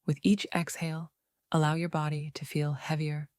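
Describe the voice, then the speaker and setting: A woman narrating a guided meditation in a soothing, unhurried way, leaving long pauses between phrases. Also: low-pitched, little pitch movement, darkish tone, dry recording, studio quality.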